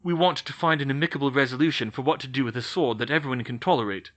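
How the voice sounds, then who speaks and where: A man voicing a calm, diplomatic male minister speaking in a measured way. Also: mid-range voice, voice pushed up, lightly acted.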